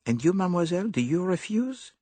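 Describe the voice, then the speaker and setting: A man's voice: sits high, big pitch swings, fast, warm tone, dry recording, voice pushed up. A man voicing a fussy, silky detective with a put-on French accent. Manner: precise, silky, probing.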